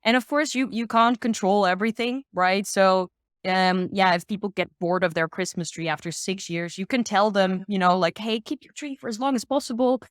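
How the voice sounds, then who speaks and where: A woman's voice: medium pitch, moderate pace, dry recording. Woman, expert guest, informal and upbeat explainer, conversational, thinks aloud, dry recording. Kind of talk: casual explanatory discussion.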